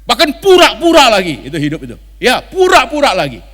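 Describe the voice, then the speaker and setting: A man's voice: very high-pitched, huge pitch swings, fairly quick, a bit bright. A man with an Indonesian accent preaching as a pastor, telling stories dramatically in colloquial speech sprinkled with English phrases. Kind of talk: animated storytelling sermon acting out dialogue. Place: large church function hall. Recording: reverberant hall sound.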